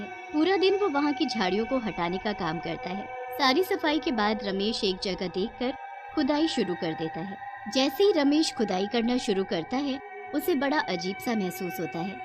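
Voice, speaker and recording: A woman narrating a scripted cartoon story, with music playing behind her. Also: medium pitch.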